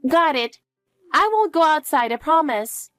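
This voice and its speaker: A woman's voice: high voice, animated, measured pace, a bit bright, no room sound, clean studio sound, faint music behind, pitched above the performer's own voice. Charlotte, a clingy little girl voiced by a woman. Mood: eager, pleading. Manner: pleading, clingy questions. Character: frightened little girl waiting for her mother. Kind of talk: dramatic dialogue.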